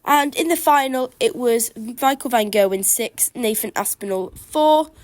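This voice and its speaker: A woman's voice: on the high side, animated, a little slow, no room sound. A woman hosting a solo podcast outdoors, chatting eagerly through a cold that leaves her bunged up. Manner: chatty, enthusiastic darts fan. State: congested from a cold. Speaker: podcast host.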